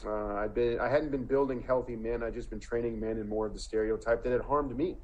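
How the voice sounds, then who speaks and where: A man's voice: medium pitch, steady tone, brisk, darkish tone, lo-fi sound. A man in his forties, a coach speaking on a panel, talking earnestly and reflectively, with frequent restarts. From Montana. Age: 40s. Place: indoor room, video call.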